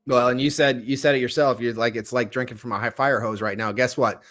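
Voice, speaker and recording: A man's voice: medium pitch, steady tone, a little fast, fairly bright tone. A man as a guest leadership coach, talking casually and emphatically, repeating his points for stress. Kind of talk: casual interview chat.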